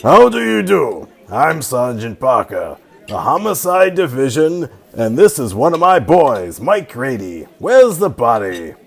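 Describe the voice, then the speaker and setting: A methodical homicide sergeant out of a radio mystery, acted by a man. His voice has a gravelly creak and swings widely in pitch, with deliberate authority in every question. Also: sing-song, soft background music, heavily acted. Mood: businesslike. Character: brisk, methodical male police homicide sergeant. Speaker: actor. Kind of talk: old-time radio drama acting.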